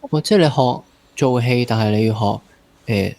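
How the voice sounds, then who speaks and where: A man's voice: mid-range voice, moderate pace, creaky, darkish tone, no echo. A man with a Hong Kong Cantonese accent hosting a casual interview, asking questions in colloquial speech. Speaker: interviewer, host.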